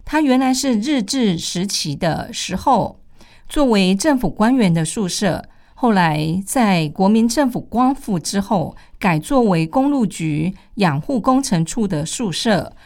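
An older woman hosts a radio program in a Taiwanese Mandarin accent. Her mid-pitched delivery is conversational but prepared. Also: expressive, a little slow, a little mellow.

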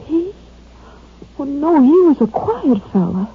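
A woman's voice: high voice, big pitch swings, unhurried, heavily acted. A woman playing a grief-stricken young woman in an old-time radio melodrama, distraught and tearful, fragile yet protective. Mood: tearful, indignant. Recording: tinny old radio recording.

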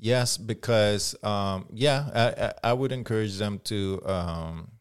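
A man's voice: fairly low pitch, takes it slowly, smooth. A man in his forties from Puerto Rico with a Puerto Rican accent, speaking as a guest in a casual interview, candid and reflective, swearing now and then. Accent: Puerto Rican Spanish. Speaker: guest, firearms instructor.

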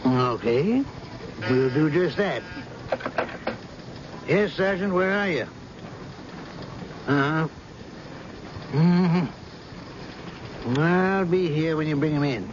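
Police boss in old radio play, measured and patient, slightly high male voice, muffled. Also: background noise. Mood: indulgent, then businesslike. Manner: measured, authoritative. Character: methodical, patient senior police officer, a man. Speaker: radio drama actor. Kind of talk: 1970s radio drama acting.

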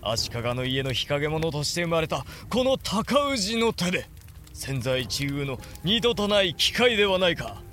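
An ambitious young samurai lord in a period radio play. His voice sits high for a man and carries defiant, declamatory fervor in archaic warrior phrasing. Music plays clearly behind him. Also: very animated, unhurried, bright, forceful tone, heavily acted. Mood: proud, defiant. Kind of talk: Japanese period audio drama acting.

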